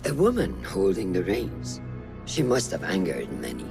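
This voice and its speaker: An actor playing a grave, commanding mentor in a video game, speaking in a measured, solemn way. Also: male voice, sits low, wildly swooping pitch, heavily acted. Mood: grave, watchful. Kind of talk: video game cutscene dialogue. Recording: music behind.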